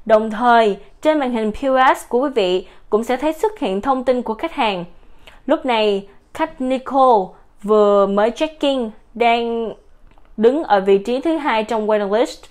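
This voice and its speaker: A woman giving a steady, explanatory voice-over for a software product demo. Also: a little high, unhurried.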